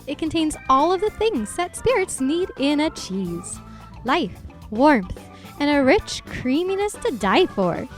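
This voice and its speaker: Woman as high, swooping, sinister ad announcer. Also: big pitch swings, measured pace, soft background music. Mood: ominous pitch. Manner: theatrical, ominous sales pitch. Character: female sponsor announcer, sinister and sales-bright. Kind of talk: parody radio advert.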